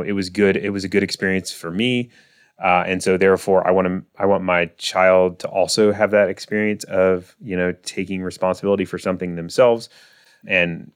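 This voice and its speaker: A man's voice: low voice, clear, resonant tone. A man in his thirties hosting a finance podcast, talking casually with frequent fillers and restarts. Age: 30s. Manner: relaxed, lots of fillers and restarts. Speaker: podcast host. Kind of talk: casual solo podcast talk.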